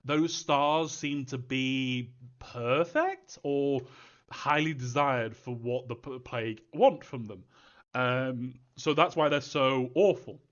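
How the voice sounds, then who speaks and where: A man's voice: medium pitch, takes it slowly, fairly bright tone, muffled recording. A man answering fan questions as a game creator in a casual live Q&A, rambling hesitantly and thinking aloud as he goes.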